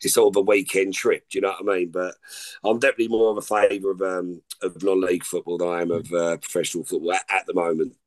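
A man's voice: deep voice, little pitch movement, fast talker. A middle-aged man, a podcast guest chatting in a loose, rambling way, often saying "like I say" and "listen". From England. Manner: rambling, informal, repeats "like I say" and "listen". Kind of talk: casual chat.

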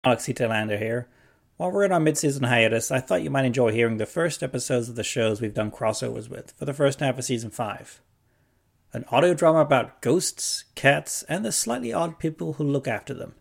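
Gravelly man introducing a podcast. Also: medium pitch, lively intonation, brisk. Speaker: podcast host. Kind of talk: spoken episode introduction.

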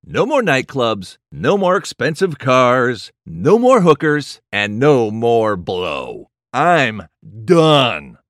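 An actor playing an excitable, boastful party man in his late fifties in an audio drama. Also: mid-range voice, takes it slowly, few pauses, no echo, clean studio sound, full character voice. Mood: earnest, defensive.

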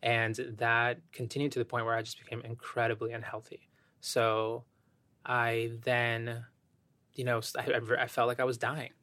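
A young man from Ecuador speaking as a podcast guest, soft-spoken and thoughtful, with many fillers as he reflects. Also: medium pitch, monotone, normal speed, no room sound. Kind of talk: reflective interview talk.

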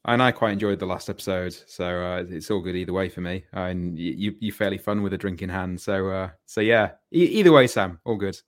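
A man's voice: low voice, a little fast, hardly any pauses. A man chatting casually as a podcast panellist, good-natured and a little hesitant. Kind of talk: casual chat.

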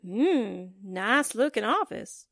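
A woman's voice: a little high, very animated, slow pace, fairly bright tone, exaggerated voice. An actress playing a spunky, wisecracking newspaperwoman sidekick in a 1940s radio drama, slangy and suspicious.

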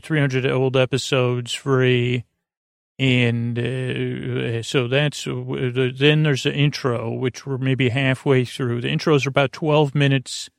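A man with a mid-pitched, gravelly, creaking voice, recorded close and dry. He hosts a bedtime podcast in a rambling monologue. His sentences wander, stop and restart as he interrupts himself with asides. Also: no echo. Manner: meandering, halting, self-interrupting asides. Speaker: podcast host.

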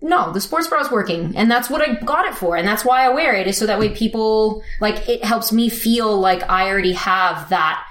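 A woman's voice: medium pitch, moderate pace, hardly any pauses, fairly bright tone. Chatty young woman in an echoey room. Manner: chatty, frequent "like" fillers, laughs often. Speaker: interviewee. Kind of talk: casual conversational storytelling.

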